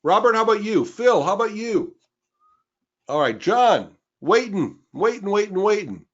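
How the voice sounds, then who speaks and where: A man co-hosting a webinar who is losing his voice, pushing a sales pitch and calling out names to rally listeners. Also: big pitch swings, moderate pace. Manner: pushy, rallying, calls out names. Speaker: webinar co-host. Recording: dull lo-fi webinar audio.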